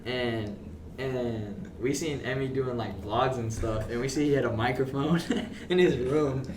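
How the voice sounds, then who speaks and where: A man's voice: mid-range voice, unhurried. Podcast guest: male, late teens, casual slangy college athlete, recorded off-mic in a noisy room. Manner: slangy, says bro often. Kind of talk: casual chat. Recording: roomy, distant mic, loud background noise.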